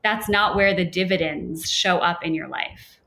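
A young adult woman teaching meditation in a relaxed, chatty way with playful asides. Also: lowish voice, measured pace, gravelly, poor audio. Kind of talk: casual podcast conversation.

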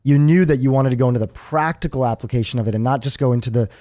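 Measured male podcast host, dry sound. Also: mid-range voice, mellow, dry recording. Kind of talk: scripted guest introduction and interview questions.

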